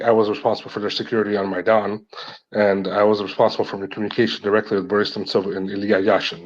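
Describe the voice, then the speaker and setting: A man from Ukraine with a North American-tinged English accent, recounting events at length in a casual live audio chat, rambling with many restarts and fillers. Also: lowish voice. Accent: North American English, Ukrainian speaker. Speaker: guest speaker. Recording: dull lo-fi audio.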